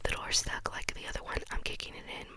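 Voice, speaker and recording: A reader voicing a sarcastic, sharp-tongued teenage girl in a whispered audiobook reading. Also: fast, natural voice. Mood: snarky. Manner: whispered throughout.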